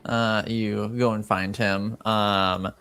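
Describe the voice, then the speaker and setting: A man's voice: on the low side, little pitch movement, slow, fairly bright tone, smooth, soft background music. A man acting as game master, improvising narration and chatting casually, feeling out his phrases hesitantly.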